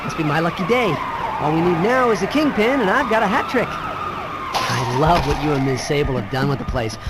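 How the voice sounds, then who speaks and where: An actor playing a wisecracking young masked superhero in a video game, quippy and sarcastic. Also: male voice, a little high, expressive, normal speed, very noisy, close to an everyday voice. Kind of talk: video game character acting. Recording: loud music under the voice.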